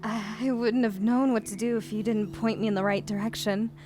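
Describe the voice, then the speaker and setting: A woman's voice: a little high, fairly even intonation, moderate pace, noisy background, natural voice. A woman playing a loyal, protective figure caught up in a frightening adventure, earnest and emotional, in a sci-fi audio drama. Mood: shaken, wary.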